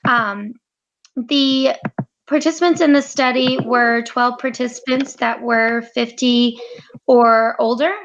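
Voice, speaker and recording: A young woman presents a research study for a class, her voice a little high with a gravelly edge. She reads from notes, stopping often for fillers and short pauses. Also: fairly bright tone, muffled recording, a little hiss or hum. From United States. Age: 20s. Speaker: graduate student presenter.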